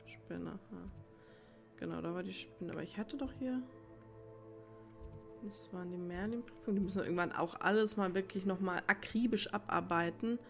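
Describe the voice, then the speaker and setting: A woman's voice: medium pitch, moderate pace, creaky, faint music behind. A woman giving casual gameplay commentary, musing as if thinking aloud to herself. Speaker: let's play gamer.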